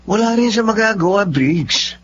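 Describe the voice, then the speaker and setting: A man's voice: sits high, animated, normal speed, fairly bright tone, soft background music. A Filipino man acting in a radio drama as a sly, manipulative younger lover who drinks and turns on false sweetness. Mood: smug. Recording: dull lo-fi audio with clear noise, hum.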